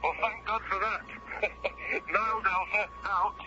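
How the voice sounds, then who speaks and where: An actor playing a breezy, matey British space freighter captain in a radio drama, speaking colloquially through a radio transmission filter. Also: male voice, sits high, steady tone, a little slow, lo-fi sound. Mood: joking. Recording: noisy, band-limited old radio drama transmission.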